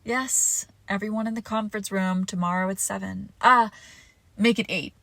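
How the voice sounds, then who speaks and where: A woman playing a seductive, menacing villain crime boss and wounded ex-lover in an intimate audio roleplay, commanding and breathy, often dropping into whispers. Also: mid-range voice, steady tone, normal speed, a bit bright, no echo. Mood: brisk, commanding.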